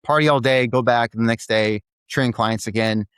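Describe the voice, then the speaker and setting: A man's voice: medium pitch, fairly even intonation, normal speed, fairly bright tone, smooth, no room sound, clean studio sound. A man in his forties, a podcast guest telling his life story candidly and with self-deprecating humor, often restarting his sentences. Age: 40s.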